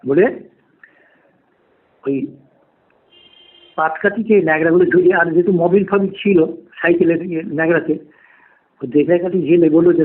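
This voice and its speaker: A man's voice: a little high, breathy edge. A man, telling a ghost story in the first person over a lo-fi call recording. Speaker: podcast guest, private tutor. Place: remote call to a podcast. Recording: dull, lo-fi phone call recording.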